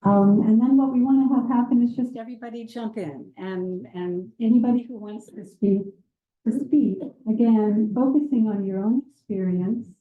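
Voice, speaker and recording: A woman moderating a community panel, welcoming a live audience in a gentle, measured and slightly hesitant way. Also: fairly high pitch, narrow, tinny audio. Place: community meeting room with a seated circle. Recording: roomy room sound.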